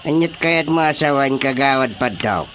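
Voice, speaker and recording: A man's voice: mid-range voice, expressive, moderate pace, a bit bright, a little hiss or hum, close to an everyday voice. A man with an Ilocano accent playing a teasing, drawling village chairman in a radio drama comedy. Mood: blustering. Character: teasing, slow-talking village chairman, comically mocking.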